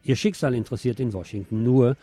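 A man's voice: medium pitch, fast, dark tone. A man hosting a radio programme, reading news and press commentary aloud in a wry, sardonic manner.

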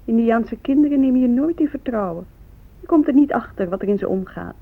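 Judgmental prim woman, high voice, disdainful, radio drama, thin narrowband recording. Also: lively intonation, brisk, vocal fry. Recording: tinny phone-like old radio recording.

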